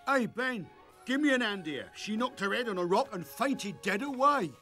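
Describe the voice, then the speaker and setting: A man playing a big, good-natured, earnest working man in an animated dub, with a folksy British accent. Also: high voice, moderate pace, over music. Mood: cheerful. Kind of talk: animated adventure series dubbing.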